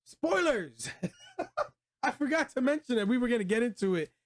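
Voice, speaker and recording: A man's voice: very high voice, sing-song, normal speed, a crowd in the background. An American man hosting a casual film review chat, talking in an enthusiastic, slangy way. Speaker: host of a movie review show.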